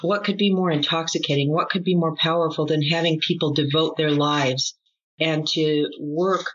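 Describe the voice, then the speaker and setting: A woman interview guest giving reflective testimony in an earnest, deliberate way, building her points through repetition. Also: low-pitched, steady tone, a bit bright. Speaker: interview guest, former group member recounting experience. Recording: dull lo-fi audio.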